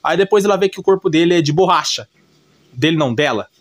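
A man's voice: fairly high pitch, animated, fast, no echo. A man with a Brazilian accent giving casual, unscripted story commentary in a colloquial, repetitive way, often adding little check-in tags. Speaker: video creator narrating an anime recap.

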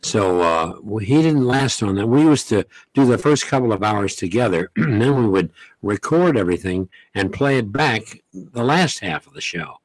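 An older man, a veteran radio host, reminiscing in an unhurried, folksy way in a radio studio at a studio mic. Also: lowish voice, lively intonation, no room sound, rough recording. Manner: unhurried, folksy, many pauses and "uh"s. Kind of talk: interview, reminiscing. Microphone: studio mic, headset.

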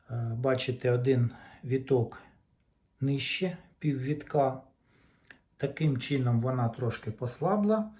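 A man with a mellow, relaxed voice of middling pitch talks through a repair in an informal, unscripted way. He explains step by step, often halting mid-sentence. The recording is dull and lo-fi. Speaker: repair hobbyist presenting a demonstration. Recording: dull lo-fi sound.

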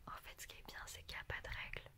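A woman in her twenties speaking in a breathy whisper at a close desk microphone, chatting and explaining as an ASMR guest. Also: very fast. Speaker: guest, ASMR creator demonstrating her tools. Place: small indoor studio with sofa. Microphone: close desktop condenser microphone.

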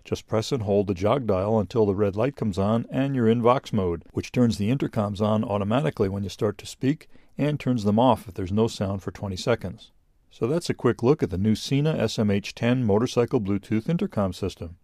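Low, mellow man narrating a product video. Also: brisk, no echo, studio quality.

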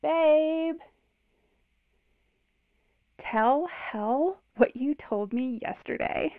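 A woman's voice: sits high, slow pace, no echo. A woman playing a breezy, sardonic young girlfriend in an audio drama, teasing, through a phone filter. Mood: doting. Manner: drawling, teasing. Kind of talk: modern audio drama acting. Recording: tinny narrow phone line.

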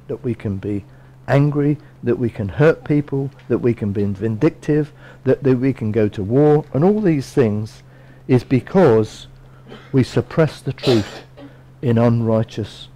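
A middle-aged man preaches in a measured, earnest way, stopping to read scripture aloud from a book. His voice is mellow and warm, at an ordinary mid pitch. He stands at a lectern in a small bright room, recorded by a camera a few metres off. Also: low-quality recording. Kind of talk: sermon with scripture readings.

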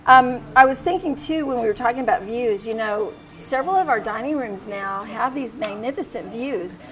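A woman's voice: a little high, animated, normal speed, over music, rough recording. A middle-aged woman hosting a casual, upbeat chat over dinner on a restaurant patio, with a lapel mic. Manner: chatty, upbeat, leads the talk. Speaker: host.

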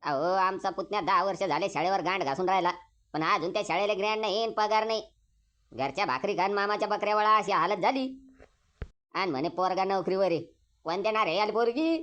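A man's voice: sits high, brisk, fairly bright tone, muffled recording. A man with a rural Maharashtrian accent voicing a skeptical village uncle in a comic cartoon, blunt and scoffing in folksy speech. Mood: scornful.

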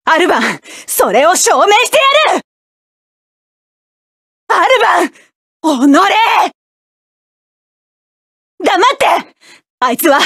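A proud hot-tempered mecha pilot. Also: female voice, sits high, no room sound, clean studio sound. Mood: fierce, shouting. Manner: sharp, commanding, clipped battle barks. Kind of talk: video game battle voice lines.

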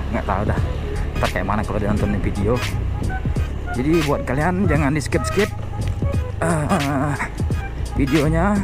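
A young man from Riau with a stuffy, nasal voice, chatting casually while riding a motorbike through town streets. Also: a little high. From Riau, Indonesia. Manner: chatty, rambling, slangy. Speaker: motovlogger host. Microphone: action camera mic on the bike. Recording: loud background music over the ride.

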